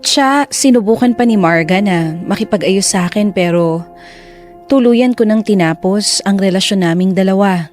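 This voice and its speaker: Actress as wounded reflective narrator, mid-pitched, measured, sorrowful, first-person radio drama storytelling, faint music. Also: female voice, a little mellow, lightly acted.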